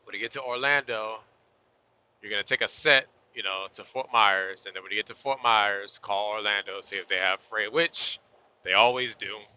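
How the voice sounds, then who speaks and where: A man driving a truck and chatting casually to his vlog audience, a little hoarse and losing his voice, with an easygoing, rambling manner. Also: fairly low pitch, normal speed, bright, forceful tone. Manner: laid-back, chatty, southern-style y'all. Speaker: truck driver vlogger. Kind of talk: casual vlog talk to camera while driving. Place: inside a truck cab on the road. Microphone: phone or camera on a tripod. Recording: dull lo-fi sound.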